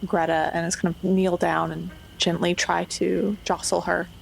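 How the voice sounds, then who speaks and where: A woman's voice: fairly low pitch, level tone, moderate pace, gravelly, rough recording. A woman playing a tabletop role-playing game, describing and speaking for her character in a casual way. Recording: faint background noise.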